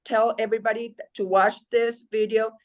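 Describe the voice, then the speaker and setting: A woman's voice: a little high, little pitch movement, normal speed, highs cut off. A woman from Ecuador calling in with a question, speaking hesitantly with many fillers. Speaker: caller.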